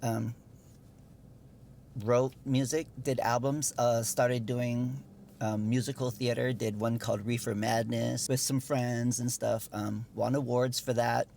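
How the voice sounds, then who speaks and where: A middle-aged man from Hawaii, a dance teacher, chatting in an interview with a relaxed storytelling manner, many fillers and easy laughs. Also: medium pitch, a little slow, vocal fry, slight background noise. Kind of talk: casual interview chat.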